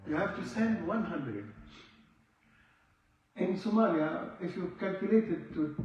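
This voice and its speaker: A man's voice: high voice, unhurried, a little hiss or hum. A man teaching a religious class, quizzing his listeners and repeating key words with emphasis. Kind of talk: informal question-and-answer lesson. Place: mosque prayer hall. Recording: echoey hall, far from the mic, dull.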